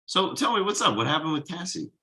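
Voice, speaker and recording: A man's voice: fairly high pitch, big pitch swings, fast, pushed and bright. A man playing an exhausted, easygoing thirty-something slacker dad in a casual, hesitant comedy table read. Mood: friendly, concerned.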